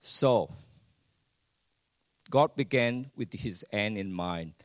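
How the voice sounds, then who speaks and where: A man preaches in unhurried, deliberate phrases. He drops to near-hushed lines, then surges up loud and throws rhetorical questions at the congregation. The sound is close and dry. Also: lowish voice, lively intonation, dry recording. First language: Chinese. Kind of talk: sermon.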